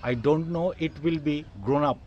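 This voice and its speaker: A man's voice: a little high, moderate pace, darkish tone, lo-fi sound, a little hiss or hum. Earnest older Bengali man on a rooftop. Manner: earnest, repetitive phrasing, addresses viewers as dear friends. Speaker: gardening channel host. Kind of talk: casual gardening vlog tour. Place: outdoor rooftop garden.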